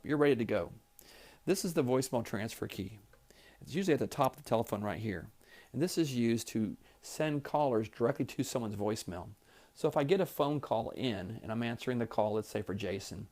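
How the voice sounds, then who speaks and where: A man's voice: mid-range voice, darkish tone. Man walking through a training tutorial. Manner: plain-spoken, step-by-step explaining. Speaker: trainer demonstrating office phone features. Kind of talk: instructional product tutorial, demonstrated live.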